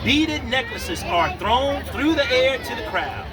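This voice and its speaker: A man's voice: extremely high pitch, very animated, measured pace. A man telling a story aloud to children, informal and interactive, often checking in with his young listeners. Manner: informal, checks in with the audience, invites responses. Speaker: children's storyteller at a book festival. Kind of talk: animated read-aloud to kids, with asides and call-outs. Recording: distant mic, loud music and crowd noise. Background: music and a noisy crowd.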